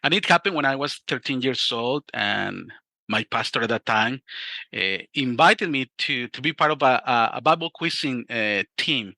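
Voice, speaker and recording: A man's voice: medium pitch, lively intonation, normal speed, vocal fry, pushed and bright, dry recording. A middle-aged man from Mexico with a Mexican Spanish accent, telling his personal testimony in an interview in an earnest, reflective way and often restarting his sentences. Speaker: youth ministry director.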